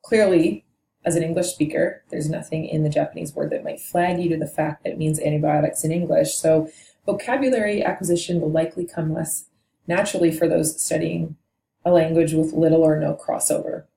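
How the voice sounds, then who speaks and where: A woman's voice: low voice, fairly even intonation, creaky, dark tone. A woman teaching a linguistics lesson, explaining patiently and correcting herself now and then. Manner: measured and explanatory, with occasional self-corrections. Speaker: language teacher. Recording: echoey room with faint noise.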